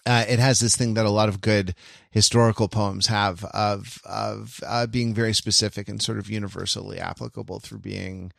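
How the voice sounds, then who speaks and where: A man with a lowish voice talks casually as a podcast host. The sound is dry, with little room on it. He thinks aloud about literature, stopping, restarting and doubling up on words. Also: flat delivery, gravelly, dry recording. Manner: halting, self-interrupting, repeats words.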